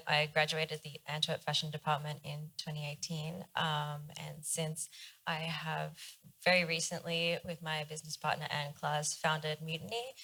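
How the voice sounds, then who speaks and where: A woman's voice: sits low, sharp tone, poor audio. A woman in her twenties, a young designer on a panel, explaining her project steadily with occasional fillers. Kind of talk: panel discussion talk.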